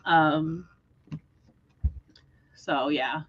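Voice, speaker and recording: A woman hosting a casual book discussion livestream, talking in an animated, expressive way. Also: low voice, very slow, slightly rough, lo-fi sound. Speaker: host of book readalong livestream.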